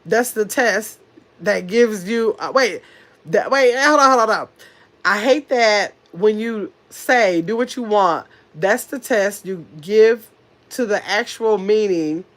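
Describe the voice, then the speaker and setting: A woman's voice: mid-range voice, slow, a bit bright. Nasal woman talking sass at her livestream chat. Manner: talkative, sassy, rambling, answers chat comments directly. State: coming down with a cold. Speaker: livestream host reading chat.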